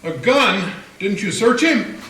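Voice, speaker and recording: A man's voice: sits high, animated, slow pace, fairly bright tone, exaggerated voice. A man playing a puzzled, worried small-town banker who keeps asking questions. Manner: questioning, fretful. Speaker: town banker Benson Bailey. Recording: roomy echo, a bit distant, faint hiss.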